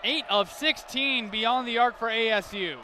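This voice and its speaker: High-pitched American man calling basketball. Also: unhurried. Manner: detailed, running description of the action. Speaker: play-by-play announcer. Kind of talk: live basketball play-by-play commentary. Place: courtside in a large basketball arena. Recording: loud crowd and arena noise behind.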